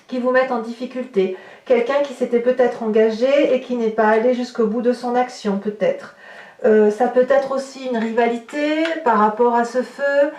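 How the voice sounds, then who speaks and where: A woman's voice: on the high side, a little mellow. A woman giving an improvised tarot reading to viewers, explaining in a searching way and often rephrasing as she goes. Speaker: tarot reader. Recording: roomy echo, distant microphone.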